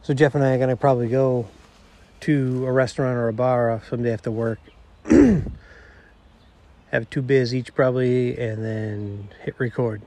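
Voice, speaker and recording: A middle-aged man with a nasal voice hosting a podcast on his own, rambling and self-deprecating, talking quietly outdoors. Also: mid-range voice, flat delivery, normal speed. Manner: quiet, not projecting. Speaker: podcast host. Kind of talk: casual solo monologue.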